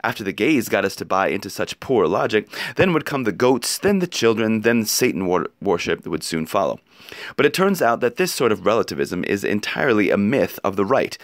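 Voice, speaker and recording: Animated male reader, close dry sound. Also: lowish voice, brisk, no echo. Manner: emphatic, rhetorical delivery with pointed questions. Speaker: narrator reading an article aloud. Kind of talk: expressive read-aloud of an opinion essay.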